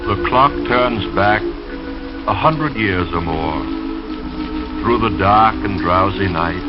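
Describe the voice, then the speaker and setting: Slow, low-voiced vintage radio man. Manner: solemn, measured, lyrical delivery. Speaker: radio announcer reading poetry and sponsor messages. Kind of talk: 1930s radio poetry reading and narration. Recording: dull lo-fi vintage radio recording. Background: dreamy music.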